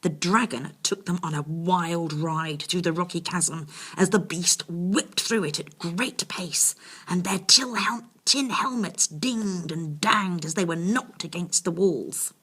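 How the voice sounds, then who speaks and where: A woman's voice: lowish voice, slow, fairly bright tone, slightly rough. A woman reading a children's bedtime story expressively, with confiding asides to her young listeners.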